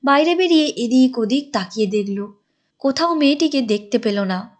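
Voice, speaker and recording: A woman's voice: sits high, animated, normal speed. A woman reading scripted storybook narration for a cartoon as its narrator. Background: music.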